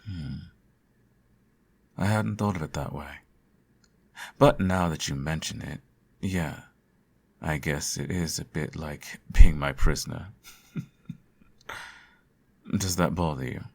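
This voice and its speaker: A man playing a teasing, doting supervillain in an intimate ASMR roleplay, suave and coaxing, with a gravelly, husky voice. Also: very deep voice, slow, long pauses, heavily acted. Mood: playful, gloating. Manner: suave, theatrical, coaxing, uses pet names. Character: teasing, doting male supervillain nursing his hero nemesis.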